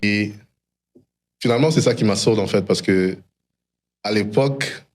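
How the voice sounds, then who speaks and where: Male interview guest, low register, reflective storyteller, slips English phrases into French. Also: moderate pace. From DR Congo and Canada. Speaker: interview guest, tech founder. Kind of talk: reflective personal storytelling in conversation.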